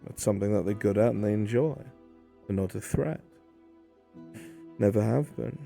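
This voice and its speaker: A man acts out a stern fantasy mentor in a roleplay game. His voice is dark, mellow and full of gravelly fry, with a deadpan, measured delivery. Faint music sits behind him. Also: lowish voice. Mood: matter-of-fact, grave. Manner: deadpan, measured, matter-of-fact. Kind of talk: improvised in-character roleplay.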